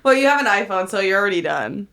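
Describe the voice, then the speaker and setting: A woman's voice: mid-range voice, lively intonation, quick pace, fairly bright tone, clean tone. A young adult woman co-hosting a podcast in casual comedic banter, teasing, blunt and sweary. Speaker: podcast co-host.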